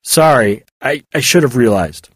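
An earnest, clumsy young man speaks eagerly and apologizes readily, voiced by a storybook narrator. His voice sits raised and lively in pitch. The reading sounds slightly husky and dry. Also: normal speed, no room sound.

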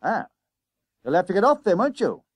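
A man's voice: sits high, sing-song, moderate pace, dry recording, pitched above the performer's own voice. A man voicing a blunt, impatient, sceptical bus conductor in an audiobook story. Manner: blunt, loud, colloquial.

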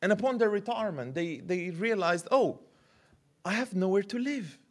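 A man in his thirties preaching a sermon at a podium mic in a church hall, animated and gesturing, often repeating words as he builds a point. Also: high-pitched, normal speed. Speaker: pastor. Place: church hall with curtained stage.

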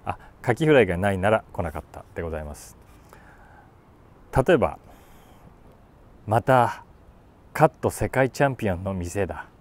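A man in his forties talking casually about a book, thinking aloud and reading short lines with animated emphasis. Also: on the low side, normal speed, long pauses. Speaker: YouTube host, voice actor. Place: outdoors on a city rooftop.

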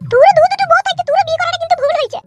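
A performer voicing a chatty young village woman in a comedy cartoon, with a rural West Bengal accent and a pitched-up voice. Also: rapid-fire, dry recording, faint music behind. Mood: friendly, inquisitive. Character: chatty, teasing young village cousin, female.

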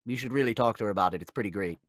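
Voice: mid-range voice, very fast, no room sound, studio quality, lightly acted. A performer playing a vain, snide alien tyrant in a fan question show, with smug, sardonic, offhand delivery.

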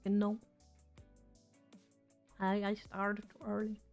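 A woman's voice: mid-range voice, monotone, takes it slowly, faint music behind. A woman streamer chatting casually and rambling as she loses her voice. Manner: rambling, chatty, self-deprecating. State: losing her voice. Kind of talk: casual live-stream chat.

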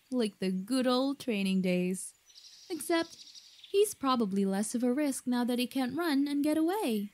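Woman acting high-voiced, jeering warrior. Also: expressive, a little slow, a little mellow, a little hiss or hum. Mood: sarcastic. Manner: sarcastic, jeering. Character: gleefully mocking female warrior squad member. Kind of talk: audio roleplay acting.

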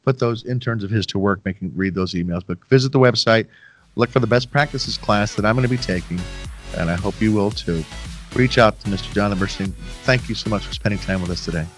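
A man's voice: lowish voice, fast talker, faint music behind. A middle-aged man hosting a podcast over a video call, chatting loosely with a guest and joking with his listeners. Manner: rambling, self-deprecating, folksy asides to listeners. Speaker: podcast host. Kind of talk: casual interview chat. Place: home room, video call. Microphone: headset with boom mic.

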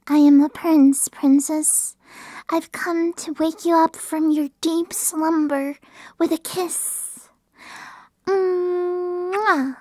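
Woman voicing a bratty puppy girl, very high childlike pitch, slow drawn-out delivery, cutesy and affectionate, ASMR roleplay. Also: steady tone, a little mellow, no room sound, exaggerated voice. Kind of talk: ASMR roleplay, playful and intimate.

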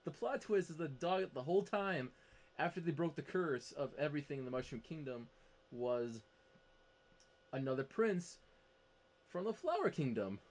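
A man's voice: a little high, expressive, moderate pace, pauses often. A man chatting casually as a panelist on a podcast. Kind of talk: casual chat.

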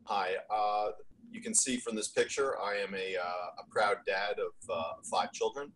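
Middle aged american guy from new jersey, low voice, stop and start talking, faint noise on webcam. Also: fairly even intonation, measured pace, fairly bright tone, poor audio. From New Jersey, United States. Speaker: webinar co-presenter, investor. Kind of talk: informal self-introduction on a webinar. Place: indoors on a video call.

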